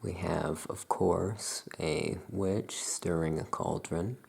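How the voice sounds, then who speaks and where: A soft-spoken ASMR host in a calm, unhurried shopkeeper roleplay, leaving long pauses between phrases. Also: sits low, vocal fry. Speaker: ASMR host playing a Salem witch shopkeeper.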